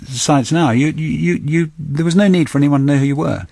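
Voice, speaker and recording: A man presenting a live morning radio programme, introducing a guest and interviewing him in a brisk, conversational way. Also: on the high side, creaky, darkish tone, no echo, studio quality. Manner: brisk, conversational, leading questions. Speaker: radio news programme host.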